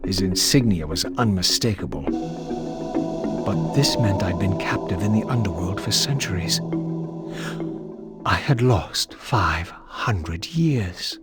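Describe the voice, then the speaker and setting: Game role: resolute undead vampire wraith, grave theatrical narration, eloquent and formal, music underneath. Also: male voice, low-pitched, animated, slow, long pauses. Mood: grave, bitter. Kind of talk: dramatic scripted game narration.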